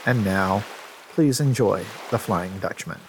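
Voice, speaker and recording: Male announcer, slightly low voice, scripted radio play introduction, faint background noise. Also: a little slow. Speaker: podcast announcer.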